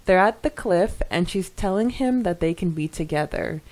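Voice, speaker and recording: A woman with a slightly low voice talks casually as a podcast co-host. She sounds chatty and informal, as if going through comments with a friend. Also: expressive, normal speed, a little mellow, rough recording. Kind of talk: casual podcast chat mixed with reading listener comments aloud.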